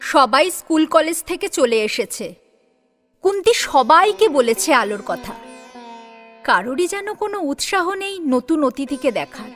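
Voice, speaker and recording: Female narrator, high bright voice, unhurried pace, dramatic scripted storytelling. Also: very animated, faint music behind. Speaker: narrator and co-presenter.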